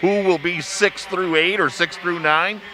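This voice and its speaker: A man who works as a play-by-play announcer, talking in a measured, explanatory way in a casual sports panel discussion. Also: high voice, fairly even intonation. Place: large indoor arena. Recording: noisy arena ambience behind.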